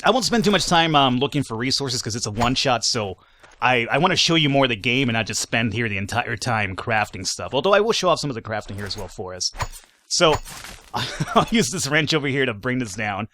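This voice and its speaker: Young male let's player, rambling commentary, slightly hoarse voice, faint game sounds underneath. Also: mid-range voice, lively intonation, fairly quick, pushed and bright, a little hiss or hum. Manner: rambling, filler-heavy, joking. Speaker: let's play host. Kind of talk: casual gameplay commentary.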